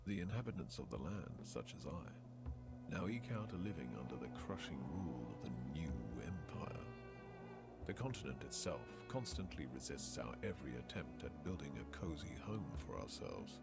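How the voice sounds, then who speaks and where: An actor playing a world-weary, brooding narrator of a ruined fantasy land in a video game intro, grave and theatrical, with hushed word endings. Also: male voice, a bass voice, big pitch swings, moderate pace, vocal fry, heavily acted. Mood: somber, brooding. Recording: loud music under the voice.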